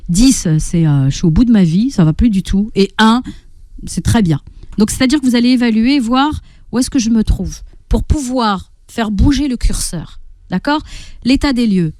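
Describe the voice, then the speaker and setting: A woman's voice: on the high side, lively intonation, normal speed, dark tone, no room sound. A woman giving explanatory advice as a guest coach in a radio interview, teaching through everyday examples and frequent rhetorical questions. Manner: didactic, often checks in with rhetorical questions and acts out inner speech. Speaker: guest parenting coach and therapist. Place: radio studio.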